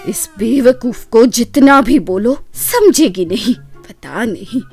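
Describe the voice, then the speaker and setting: A woman puts on a stern older mother-in-law for a cartoon fairytale. She grumbles slowly and skeptically, disapproving of everything, with faint music behind her. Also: on the high side, lively intonation. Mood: grumbling. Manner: scolding, disapproving. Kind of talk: animated Hindi moral story character voice.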